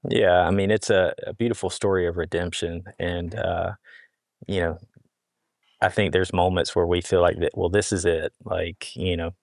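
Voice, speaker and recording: A man speaking as a podcast guest, giving a casual, reflective testimony in an earnest, halting way. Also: low voice, steady tone, vocal fry, dry recording, studio quality. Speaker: podcast guest, hat brand founder.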